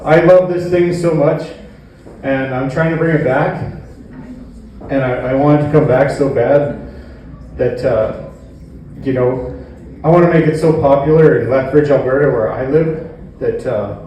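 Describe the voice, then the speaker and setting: A man doing a stand-up comedy routine on stage, with a halting, rambling delivery. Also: medium pitch, mellow. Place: indoor comedy venue stage. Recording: echoey room, distant mic, faint noise.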